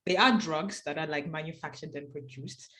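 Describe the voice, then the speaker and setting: A woman with a slightly low voice co-hosts a relaxed discussion podcast. She talks in a chatty way, sprinkling in fillers as she goes. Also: normal speed. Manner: chatty, leans on "like". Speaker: podcast co-host. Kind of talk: casual discussion.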